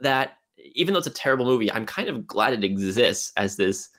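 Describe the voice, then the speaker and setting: A man's voice: medium pitch, animated, a little fast, fairly bright tone. A man with a mid-range voice hosting a film podcast. His delivery is conversational. He states his opinions emphatically, leaning on key words. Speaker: podcast host. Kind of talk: casual film discussion.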